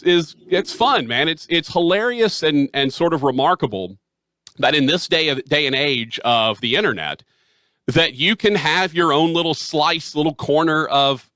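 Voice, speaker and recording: A man's voice: on the high side, bright, forceful tone, no room sound. A middle-aged man hosting a sports talk radio show, chatting casually in an interview, close on the mic in a small studio. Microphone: headset or studio mic, close up.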